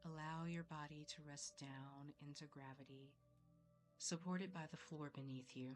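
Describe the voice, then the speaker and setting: A woman leading a guided meditation in a soothing, measured way, leaving long pauses between phrases. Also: low voice, fairly even intonation. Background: soft background music.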